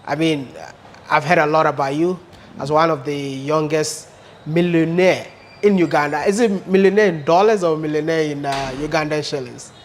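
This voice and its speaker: A male interviewer asks probing questions in a conversational tone. His voice sits a little above the usual male pitch. A faint background noise runs under the recording. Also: steady tone, moderate pace.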